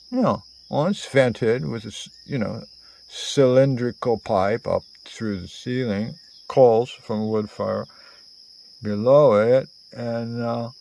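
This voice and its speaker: A man in his sixties telling a rambling, improvised story in a theatrical, halting way, full of digressions and pauses. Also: mid-range voice, creaky, a little mellow. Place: outdoors under cover among greenery. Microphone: phone at arm's length.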